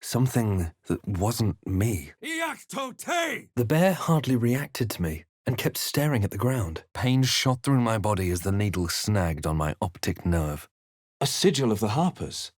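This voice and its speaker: A man voicing a brooding, wry fantasy adventurer hero in a video game, with measured, dramatic delivery. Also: lowish voice, sing-song, no echo, clean studio sound. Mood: intense incantation. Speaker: video game player-character voice actor. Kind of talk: dramatic first-person fantasy game narration and voice lines.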